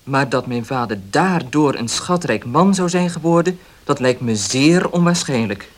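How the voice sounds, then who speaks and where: An actor in a Dutch radio play as a wary baker, speaking directly and guardedly. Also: male voice, mid-range voice, animated, moderate pace, close to an everyday voice. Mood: guarded, firm. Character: wary, blunt baker defending his honest father.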